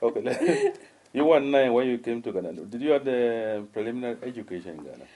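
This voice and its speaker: A woman interviewer with an unusually deep, dark voice. She talks quickly and fires off short prompting questions. Her questions often carry a teasing edge. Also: sing-song. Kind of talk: casual conversational interview.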